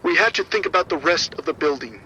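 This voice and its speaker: Man acting shaken security officer, high sharp voice. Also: animated, quick pace, slight background noise. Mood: grim, shaken. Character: shaken male arena security officer reporting a violent outbreak. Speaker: security supervisor. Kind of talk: survival horror audio drama. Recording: muffled, band-limited radio transmission.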